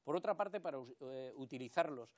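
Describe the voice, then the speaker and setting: A man speaking as a political candidate in a televised debate, halting and repeating words as he makes his point. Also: medium pitch, expressive, a little mellow.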